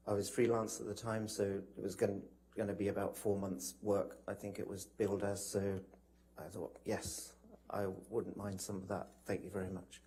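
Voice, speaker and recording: Male witness, low-pitched and mellow, polite and hesitant, flat intonation, for courtroom testimony. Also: moderate pace, hardly any pauses, breathy edge. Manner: polite, hesitant, broken phrasing. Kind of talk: courtroom testimony, recounting from memory.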